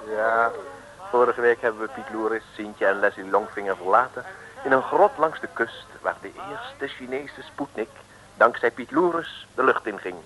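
A man's voice: fairly low pitch, normal speed, crowd noise, rough recording. A man narrating a 1950s radio comedy serial in a wry storytelling tone, talking to the listeners. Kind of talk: scripted radio play narration. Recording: old radio broadcast over music.

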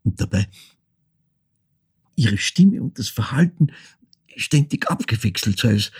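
Casting: a reflective older Austrian man, very husky, halting delivery, for a dramatic monologue. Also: medium pitch. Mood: pensive, subdued. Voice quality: gravelly, husky. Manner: halting, deliberate, hushed, broken phrasing. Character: reflective ageing man recounting his wartime childhood. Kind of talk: literary monologue, dramatic storytelling.

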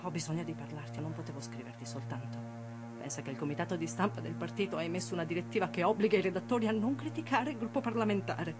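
A woman's voice: on the low side, over music, natural voice. An actress playing an agitated female political comrade confiding urgently in a friend in a radio drama. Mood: urgent, troubled. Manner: urgent, hurried. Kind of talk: theatrical radio drama dialogue.

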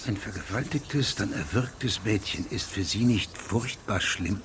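An actor playing a stern, insistent judge who questions formally and with authority in a radio play. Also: male voice, on the low side, a little slow, background noise, natural voice. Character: stern, insistent male judge investigating a case. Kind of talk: German radio drama dialogue.

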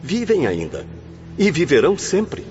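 An actor playing an earnest, moralizing spirit teacher in a radio drama, declaiming with a Brazilian accent. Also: male voice, a little high, huge pitch swings, a little slow, darkish tone. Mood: emphatic, lamenting. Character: earnest, sermonizing spirit teacher admonishing his brethren. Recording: muffled audio with faint music underneath.

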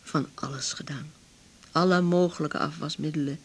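Troubled female patient, gravelly, husky, earnest, radio drama. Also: low voice, steady tone, moderate pace. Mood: earnest, plaintive. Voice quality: husky, creaky.

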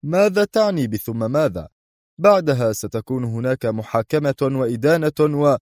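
A man's voice: mid-range voice, quick pace, a little mellow, no echo, clean studio sound, voice pushed up, lightly acted. A man voicing a stern defence minister in an audiobook, clipped and commanding. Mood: sharp. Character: stern, sharp-tongued defence minister accusing a traitor.

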